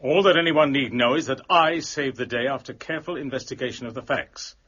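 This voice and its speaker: A vain, self-important investigator lays down orders in a sharp, raspy voice. The style is period mystery drama and the sound is a bit dull. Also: male voice, medium pitch, brisk. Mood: smug, peremptory. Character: pompous, vain, vindictive investigator claiming all credit.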